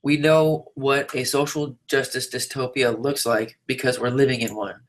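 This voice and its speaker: A man reading written interview answers aloud in a measured, articulate, declarative way. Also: medium pitch, fairly even intonation, rough recording. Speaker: reader of an interviewee's typed answers.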